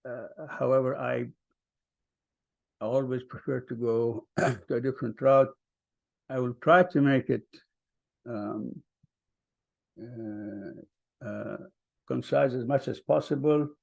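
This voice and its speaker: An older man from Palestine, telling his family story at a vigil in a soft, hesitant way with many ums. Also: medium pitch, steady tone, slow pace, gravelly, pauses often. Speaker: vigil speaker. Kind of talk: personal storytelling.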